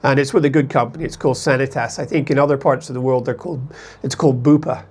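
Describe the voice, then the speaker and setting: A man's voice: mid-range voice, fairly even intonation, quick pace, slightly rough. A man from the United States talking casually to camera as an expat vlogger, in a rambling, conversational way that often corrects itself.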